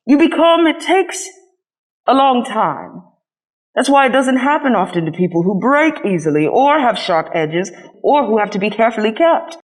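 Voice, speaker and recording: A wise old toy horse from a children's storybook, read by a woman. It explains things patiently and gently. The manner is truthful and kindly. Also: on the high side, big pitch swings, normal speed, rough recording. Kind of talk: storybook character voice.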